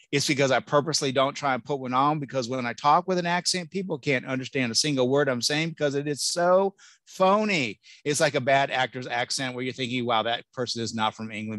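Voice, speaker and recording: A middle-aged man speaks to parents through his computer mic on a video call. He is chatty, jokey and reassuring, and his voice sits at a middle pitch. The sound is close and dry, with no room echo. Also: fairly bright tone. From Southern California. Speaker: college admissions speaker.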